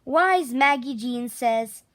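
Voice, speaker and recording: A woman narrating a children's picture book expressively, with theatrical, drawn-out emphasis on key words. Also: high voice, expressive, fairly bright tone, dry recording. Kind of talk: expressive children's storybook read-aloud.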